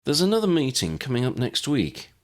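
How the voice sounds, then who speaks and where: A man's voice: medium pitch, huge pitch swings, a little fast, voice pushed up. A man voicing a cocky, wry young male journalist with an airy, confident manner in an audiobook story. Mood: airy, teasing.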